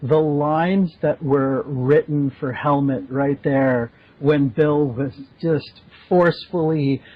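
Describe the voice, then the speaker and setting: A man's voice: on the high side, steady tone, slow. A man chatting casually and effusively as a podcast guest. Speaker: podcast guest, amateur voice actor. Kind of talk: casual chat. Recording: muffled lo-fi audio.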